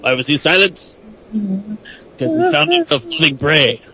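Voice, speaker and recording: A man's voice: high-pitched, wildly swooping pitch, measured pace, bright, forceful tone, husky, rough recording. A man doing a comic reading of fan fiction, mock-dramatic with playful, chatty asides. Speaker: podcast guest or panelist reading narration.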